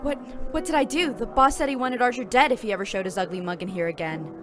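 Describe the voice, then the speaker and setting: A woman's voice: fairly high pitch, fast talker, natural voice. A woman playing a nervous, deferential mob henchman in a noir audio drama. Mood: flustered. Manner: flustered, deferential. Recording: music behind the voice.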